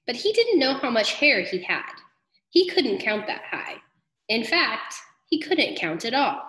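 A woman reading a storybook aloud to children, with expressive, deliberate narration. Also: on the high side. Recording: echoey room.

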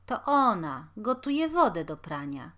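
A woman's voice: medium pitch, very animated, unhurried, a bit bright. A woman voicing a cooper, a working man who answers in a plain, matter-of-fact way.

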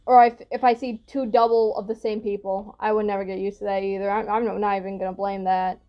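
A woman's voice: mid-range voice, flat delivery, a little fast, dull audio. A young woman giving casual reaction commentary as she hosts a video, recorded indoors in a small room. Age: young adult.

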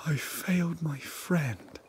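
A man playing a guilt-ridden, devout settler in a video game drama, with a breathy, halting, anguished delivery. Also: fairly high pitch, a little hiss or hum, low-quality recording. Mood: anguished, guilty. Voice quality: breathy, husky, breaking into whispers.

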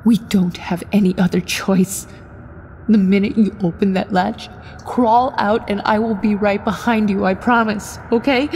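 A woman's voice: mid-range voice, steady tone, measured pace, a little mellow, a little hiss or hum, lightly acted. A woman voicing a frightened, protective mother in a horror story, urgent and soothing through her fear. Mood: urgent, reassuring.

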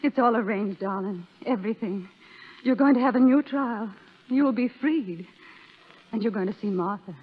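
An actress playing a devoted, anxious young wife in 1930s radio melodrama, tender and pleading. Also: female voice, mid-range voice, moderate pace, breathy edge, natural voice. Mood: tender, relieved. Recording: dull, hissy 1930s radio broadcast.

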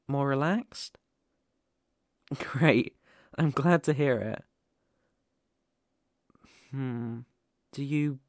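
Man acting a slow, gentle British werewolf. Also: medium pitch, dry recording, clean studio sound. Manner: soft-hearted, reassuring, halting and pausing. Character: gentle, protective male lone werewolf living in a forest cabin. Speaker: ASMR roleplay performer. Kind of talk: ASMR roleplay acting.

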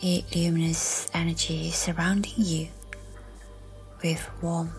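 A woman leads a guided meditation in a soft, breathy near-whisper. Her delivery is very slow and flat in pitch, soothing and scripted. Music plays behind her voice.

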